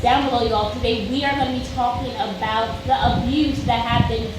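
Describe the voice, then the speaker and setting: A woman co-hosting a casual chat, giving blunt, animated criticism. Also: on the high side, normal speed. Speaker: co-host reviewing a reality show. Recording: echoey room, far from mic, loud noise.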